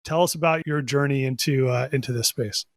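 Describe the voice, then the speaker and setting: A man's voice: mid-range voice, steady tone, brisk, dry recording, clean studio sound. A man hosting an interview podcast, chatty and enthusiastic, with filler words like "you know" and "like". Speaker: podcast host.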